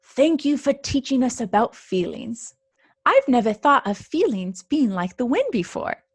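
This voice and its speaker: A kindly, elderly grandmother mouse from a children's storybook, as a woman reader puts her on. She is gentle and attentive, encouraging the young, and pitched up. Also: very animated, unhurried, breathy edge, full character voice. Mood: grateful. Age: older. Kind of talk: children's storybook read-aloud.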